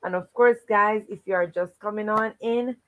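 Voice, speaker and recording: A woman's voice: mid-range voice, fairly even intonation, normal speed, fairly bright tone. A woman hosting a children's read-aloud livestream, encouraging and fond of repeating stock welcome phrases. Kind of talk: livestream hosting, greeting viewers and recapping stories.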